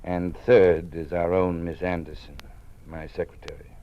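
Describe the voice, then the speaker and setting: Low-voiced, weary old college dean. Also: male voice, little pitch movement, dull audio. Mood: cautioning, confiding. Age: older. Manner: measured, formal, fatherly. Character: weary, cautious old male college dean living in fear. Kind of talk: old-time radio drama acting. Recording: noisy vintage radio drama recording.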